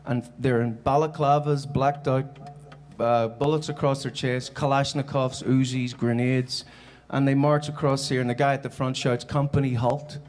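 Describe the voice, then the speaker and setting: A man's voice: mid-range voice, little pitch movement, normal speed, darkish tone. A man with a Northern Irish accent from around Belfast, speaking informally and conversationally as he tells personal stories in a live keynote talk. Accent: Northern Irish, Belfast area. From Northern Ireland. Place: on stage before a live audience.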